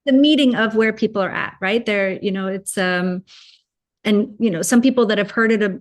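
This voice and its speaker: A woman's voice: medium pitch, normal speed. A woman from Germany, a spiritual channel, chatting casually as a guest, rambling with lots of fillers and restarts. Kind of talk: casual conversational chat.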